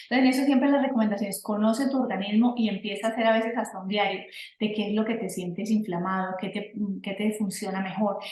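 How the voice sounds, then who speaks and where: A woman's voice: mid-range voice, steady tone, brisk, a little mellow, reverberant, low-quality recording. A woman speaking as a guest expert, explaining nutrition fluently and giving advice. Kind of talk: explanatory interview answers.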